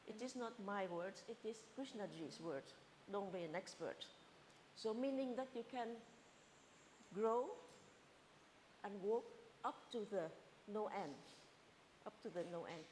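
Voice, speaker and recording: A woman giving a meditation session in an informal, halting way, often repeating herself and restarting phrases. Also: mid-range voice, darkish tone. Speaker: meditation teacher. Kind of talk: informal spoken teaching. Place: gathering room. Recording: reverberant hall, faint hiss.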